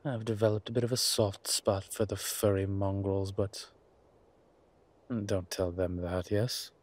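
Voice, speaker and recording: Man acting as low-voiced, wry vampire gentleman. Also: level tone. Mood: wry, cautious. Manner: refined, formal, wry phrasing with hesitant pauses. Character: dry, haughty old vampire gentleman, guarded and wry. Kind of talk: intimate roleplay audio drama.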